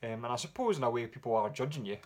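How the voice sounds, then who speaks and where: A man with a Scottish accent answering viewer questions in a relaxed, rambling way with frequent pauses and fillers. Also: mid-range voice. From Scotland. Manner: relaxed, rambling with many ums. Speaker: music vlogger. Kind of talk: casual Q&A vlog.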